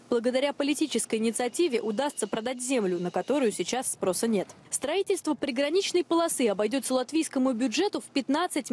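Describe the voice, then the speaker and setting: A young woman reading a scripted news report as a correspondent, brisk and pointed. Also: high voice, a little mellow.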